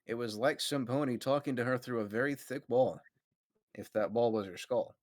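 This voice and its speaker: A man's voice: medium pitch, normal speed, no room sound. Man narrating a story, dry close sound. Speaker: narrator of a group fan fiction reading. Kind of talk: story narration with amused asides to friends.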